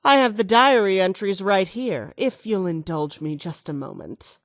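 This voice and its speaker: A woman's voice: medium pitch, animated, moderate pace, no echo, natural voice. Woman acting self-assured scheming politician, dry close sound. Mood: smug, accusing. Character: ambitious, scheming female politician running for mayor. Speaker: video game voice actor. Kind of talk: video game dialogue.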